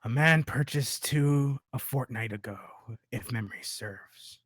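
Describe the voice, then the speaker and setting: A man's voice: medium pitch, measured pace, a bit bright, dry recording. A man voicing a sly, shrewd goblin merchant in a dry, knowing, understated manner at a script table read. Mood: wistful, musing.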